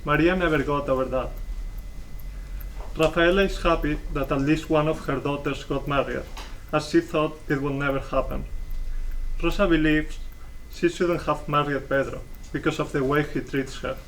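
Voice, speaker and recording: A man's voice: fairly high pitch, fairly even intonation, normal speed, fairly bright tone. A young man reading scripted plot narration for a play as a student narrator. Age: young adult. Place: live stage performance room. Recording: distant mic, faint room noise.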